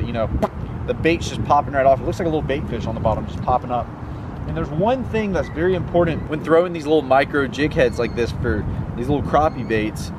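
Young guy vlogging outdoors, noisy background. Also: mid-range voice. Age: young adult. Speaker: fishing video host. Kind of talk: casual instructional fishing vlog. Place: outdoors on a pond bank. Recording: outdoor wind and ambient noise.

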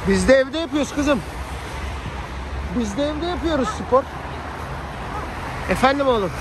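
Male vlogger, very high voice, casual and colloquial, handheld phone outdoors with clear street noise. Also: a little slow. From Turkey. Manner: colloquial, slangy, animated asides to family and viewers. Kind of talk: casual chatty vlog narration. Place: outdoors on a snowy city street. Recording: noisy outdoor street with traffic.

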